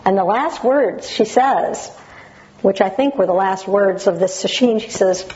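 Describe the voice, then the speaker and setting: A woman with a naturally loud voice reading a passage dramatically with up-and-down intonation, then sharing her memories in a reflective way. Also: medium pitch, a little slow, lo-fi sound. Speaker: Zen practitioner sharing memories of a teacher.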